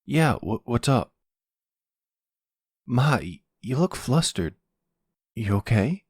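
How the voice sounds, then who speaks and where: A man's voice: medium pitch, sing-song, takes it slowly, a little mellow, husky, no room sound, studio quality, pitched above the performer's own voice, full character voice. A man voicing an earnest, casual young man, the son in a roleplay audio drama. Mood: casual, concerned. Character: earnest young man, mob boss's partner's troubled son.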